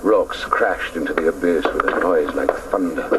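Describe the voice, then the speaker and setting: A man's voice: rumbling low, normal speed. An actor playing a reflective man who recalls his childhood, narrating solemnly and hesitantly in a radio drama. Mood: tense. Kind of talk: dramatic first-person narration. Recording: distant, faintly noisy radio recording.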